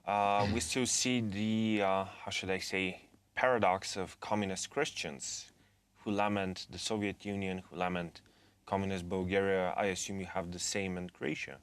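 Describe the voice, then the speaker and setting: A man interviewing a guest, asking measured, probing questions. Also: low voice, little pitch movement, smooth, dry recording.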